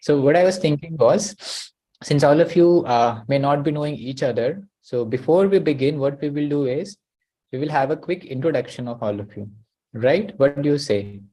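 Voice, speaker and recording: A man's voice: a little high, moderate pace, mellow, poor audio. A man hosting an online class over a video call. He talks hesitantly, with many uh and you know.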